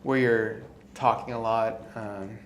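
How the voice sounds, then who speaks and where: A man's voice: on the low side, steady tone, takes it slowly, a little hiss or hum. A man in his thirties co-hosting a podcast, chatting casually and saying "like" and "dude" a lot. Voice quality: voice tires and goes hoarse easily. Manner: casual, says "like" and "dude" often. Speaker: podcast co-host. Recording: echoey room.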